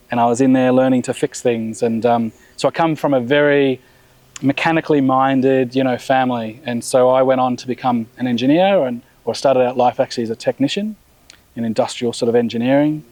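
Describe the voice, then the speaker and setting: Founder, mid-level voice, dry close mic, easygoing ramble. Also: male voice, mid-range voice, a little fast, dry recording, rough recording. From Australia. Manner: relaxed, rambling with many restarts and fillers. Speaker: brand founder and CEO. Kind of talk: casual interview.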